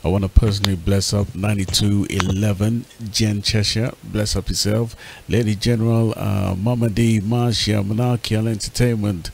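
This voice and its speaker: Man, radio host, slightly low voice, appreciative, courteous, shout-out style. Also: flat delivery, moderate pace, vocal fry, slight background noise. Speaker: talk show host. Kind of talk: radio sign-off and shout-outs. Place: online radio panel show.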